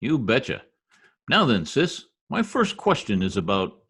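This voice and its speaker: Man playing a brash, grumbling husband, swooping pitch. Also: wildly swooping pitch, unhurried, fairly bright tone, husky, heavily acted. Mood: exasperated. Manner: brash, slangy, exasperated. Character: blustery, grumbling husband working as census taker. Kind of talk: old-time radio comedy acting.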